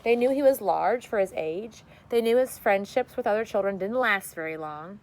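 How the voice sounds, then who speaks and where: A woman's voice: medium pitch, animated, moderate pace. A woman reading a children's story aloud expressively, like a teacher addressing her class.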